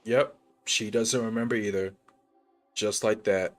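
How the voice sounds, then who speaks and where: A man with a very low voice plays through a game on stream and mutters casual asides full of fillers and slang. Faint game music runs underneath his talk. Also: fairly even intonation, a little slow. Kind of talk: casual gaming commentary.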